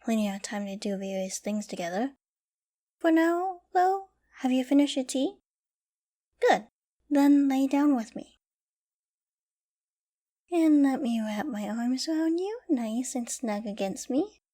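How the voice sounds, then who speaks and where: A woman playing a doting, affectionate girlfriend in an intimate ASMR roleplay, tender and cooing with gentle teasing. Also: high voice, expressive, unhurried, long pauses. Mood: cozy, gentle. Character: doting, affectionate young girlfriend caring for her sick partner.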